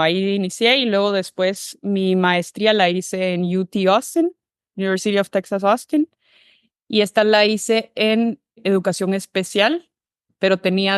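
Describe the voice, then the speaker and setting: A woman's voice: fairly low pitch, clean tone, no echo, clean studio sound. A woman from Guatemala, a behavior analyst, telling her career path in an interview, thoughtful and full of restarts. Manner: thoughtful, many fillers and restarts. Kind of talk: relaxed interview conversation.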